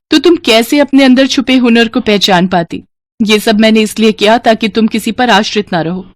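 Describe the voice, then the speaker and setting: A woman's voice: a little high, no echo, lightly acted. A woman voicing a practical married elder sister, firm and earnest, in a storybook tale.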